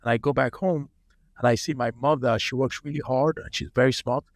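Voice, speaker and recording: Man, late 30s, anecdotal storyteller, medium pitch, dry close mic. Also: steady tone, brisk, dry recording. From Nigeria. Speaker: startup founder, guest. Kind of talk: casual podcast interview.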